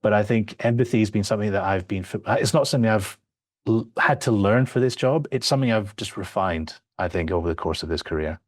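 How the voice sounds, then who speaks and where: Man, 30s, low voice, reflective guest with a dry close mic and many restarts. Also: fast, dry recording. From born in Australia, raised in several countries. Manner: reflective, restarts often, many "you know" fillers. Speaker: filmmaker guest. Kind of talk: conversational podcast interview.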